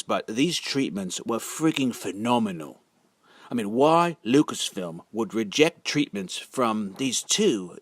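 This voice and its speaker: A man giving a casual, halting monologue as a video narrator, drawling words for sardonic emphasis. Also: mid-range voice, dry recording. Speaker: video narrator and amateur reader.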